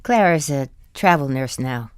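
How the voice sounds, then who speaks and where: A woman's voice: rumbling low, expressive, unhurried, clear, resonant tone, dry recording, studio quality. An actress playing a wry, indulgent older wife who tells her story confidingly in an audio drama. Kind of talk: audio drama acting with first-person narration.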